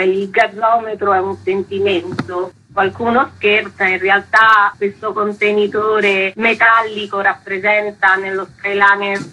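A woman's voice: mid-range voice, pushed and bright, low-quality recording. A woman, speaking descriptively as an author in a radio interview. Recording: noticeable background noise.

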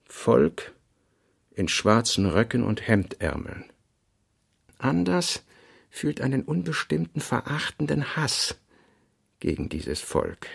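A man reading scripted literary notes for a radio play, in measured, fragmentary phrases. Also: medium pitch, sing-song, vocal fry, breathy edge. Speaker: reader of a writer's working notes.

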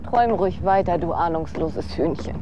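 A woman acting in a German radio drama as a sardonic, world-weary young aspiring actress with a deadpan, cynical delivery. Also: fairly low pitch, normal speed, lo-fi sound, lightly acted. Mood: scornful, dry. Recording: clear outdoor street and traffic noise. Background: city street ambience with vehicles.

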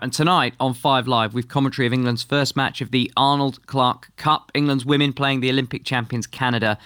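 Brisk male radio sports presenter. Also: mid-range voice, a bit bright, no room sound, clean studio sound. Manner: brisk, lightly wry delivery. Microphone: studio microphone.

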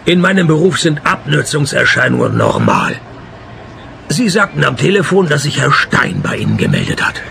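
Gravelly, deadpan private eye. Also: male voice, a little high, moderate pace, a little hiss or hum, full character voice. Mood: sardonic. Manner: deadpan, dry. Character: sardonic, down-at-heel, gluttonous male private detective. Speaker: lead actor and first-person narrator. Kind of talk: radio play, noir-parody narration and dialogue.

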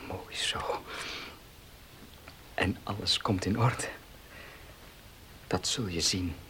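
An actor playing a worried, tender husband in a radio drama, speaking with hesitant concern. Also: male voice, deep voice, extremely slow, sharp tone, in a whisper, heavily acted. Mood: tender, soothing. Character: anxious, tender husband of a frail wife. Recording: noisy old radio play recording.

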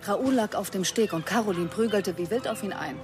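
A woman's voice: mid-range voice, brisk, over music, natural voice. An actress playing a composed, defensive woman under questioning in a radio drama, answering in a controlled, matter-of-fact way. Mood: confessing, composed.

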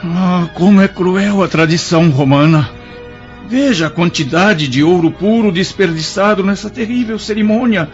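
A man's voice: high voice, normal speed. A male actor with a Brazilian accent playing a proud, anguished condemned resistance leader, lamenting theatrically in a radio drama. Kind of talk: radio drama acting in a historical serial. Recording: dull lo-fi radio drama, faint music.

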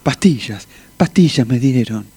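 A man's voice: mid-range voice, lively intonation, unhurried, warm tone, slightly rough, no echo. An actor with an Argentine accent playing a dazed, simple-hearted male patient coming round in a comic radio play. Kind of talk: comic radio theatre acting.

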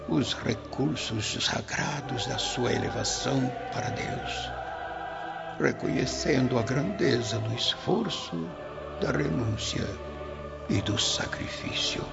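An actor playing a tender, solemn old grandfather's spirit in a Brazilian radio drama, preaching consolation in measured phrases. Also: mid-range voice, lively intonation, vocal fry, full character voice. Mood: solemn, grave. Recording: dull lo-fi audio under music. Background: music.

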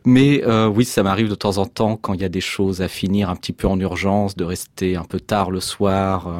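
Deep-voiced measured museum curator. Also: male voice, steady tone, darkish tone, no echo. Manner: measured, explanatory. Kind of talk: radio interview. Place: radio studio.

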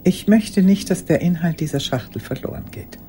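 An older actress playing a lonely, pleading old woman in a radio play monologue, halting and intense, with a husky voice. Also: lowish voice, warm tone, slight background noise, natural voice. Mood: pleading, earnest.

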